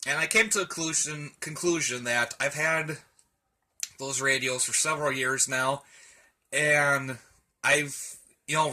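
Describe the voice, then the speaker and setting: A man with a very bright, forward voice talks casually to his camera at home. He rambles in a hesitant, folksy, conversational way, like a hobbyist giving a show-and-tell. His pitch sits in a middle range. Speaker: radio hobbyist vlogger. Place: indoors at home, room with radio shelves.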